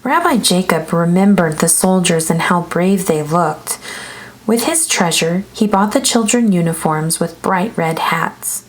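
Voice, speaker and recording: Lower-voiced woman reading a children's story. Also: expressive, a little slow, rough recording. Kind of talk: expressive read-aloud storytelling.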